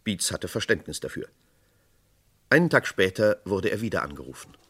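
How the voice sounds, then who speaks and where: A man's voice: low voice, very animated, moderate pace, fairly bright tone, slight background noise. A man narrating a radio crime play in a sober, matter-of-fact tone.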